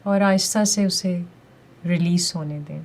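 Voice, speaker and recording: A woman leading a guided meditation with calm, gentle, deliberate instructions and pauses between phrases. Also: fairly low pitch, slight background noise.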